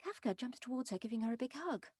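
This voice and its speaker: A woman's voice: a little high, expressive, quick pace, a little mellow, husky, dry recording, studio quality. A woman reading stage directions as scripted narration at a table read. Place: online call from indoor recording setups.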